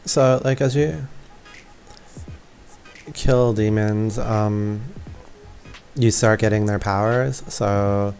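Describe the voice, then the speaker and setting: Male gaming commentator: lowish, creaky voice, informal and hesitant, thinking aloud. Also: steady tone, very slow, long pauses, darkish tone. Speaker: gaming video creator. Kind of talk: casual gameplay walkthrough commentary. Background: faint game music.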